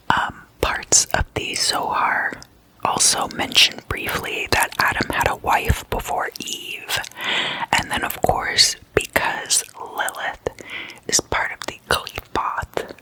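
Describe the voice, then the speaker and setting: An ASMR host whispering throughout in a hushed, unhurried, explanatory way. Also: low-quality recording.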